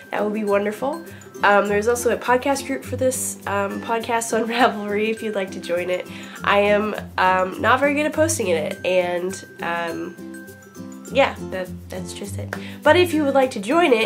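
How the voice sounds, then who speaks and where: A young woman hosting a knitting podcast, chatting casually with lots of ums and likes, still congested from a cold. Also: medium pitch. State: congested, getting over a cold. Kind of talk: casual chat to camera.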